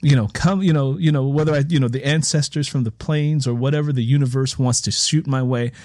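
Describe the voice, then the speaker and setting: A man's voice: mid-range voice, fairly even intonation, brisk, darkish tone, no room sound. Emphatic man reflecting in a close, dry interview. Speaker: interviewer and writer. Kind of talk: reflective conversational interview talk about writing.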